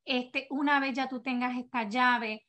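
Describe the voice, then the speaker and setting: High-voiced Puerto Rican woman, motivational presenter. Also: fairly even intonation, unhurried, sharp tone. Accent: Puerto Rican Spanish. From Puerto Rico. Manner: earnest, encouraging. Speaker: direct-sales team leader. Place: home office, indoors. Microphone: video call.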